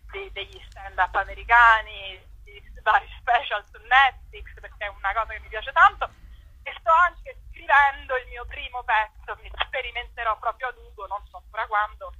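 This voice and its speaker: A woman's voice: fairly high pitch, normal speed, bright, forceful tone, slightly rough, rough recording. A woman, chatting casually as an interview guest, hesitant and often trailing off.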